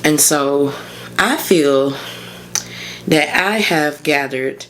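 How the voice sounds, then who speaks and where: Hoarse woman in her thirties, chatty. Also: low voice, extremely slow, fairly bright tone, slight background noise. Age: 30s. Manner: chatty, informal, encouraging, addresses listeners as y'all. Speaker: podcast host and mindset coach. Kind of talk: casual solo podcast talk.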